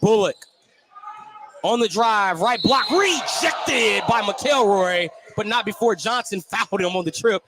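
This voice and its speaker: A man's voice: high voice, lively intonation, measured pace. A man calling live basketball play-by-play for radio, describing the action in running detail. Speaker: play-by-play sports commentator. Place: courtside broadcast spot in a school gym. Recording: live gym broadcast with background noise.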